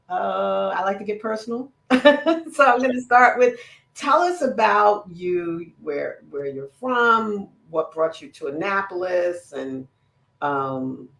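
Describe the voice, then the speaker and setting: Woman, host, slow unhurried talk, lots of ums, echoey room on a video call. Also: on the low side, gravelly. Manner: slow, warm-up questions with many fillers, stumbles over words. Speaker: interviewer, climate equity director. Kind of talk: hosting an online conversation.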